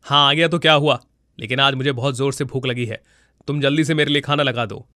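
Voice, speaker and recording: A man's voice: mid-range voice, fairly even intonation, a little fast, pushed and bright, dry recording, studio quality, close to an everyday voice. A man voicing a rude, irritable grown son in a cartoon story, curt with his parents. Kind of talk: Hindi cartoon moral-story dialogue.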